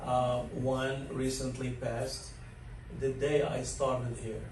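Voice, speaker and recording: A man's voice: mid-range voice, little pitch movement, takes it slowly, a little mellow. A man in his sixties from Morocco, telling his life story in an interview with plenty of fillers and chuckles. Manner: rambling, chuckles often, many fillers. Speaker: interview guest, dining director. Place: large learning center room. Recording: echoey room from a distance, faint noise.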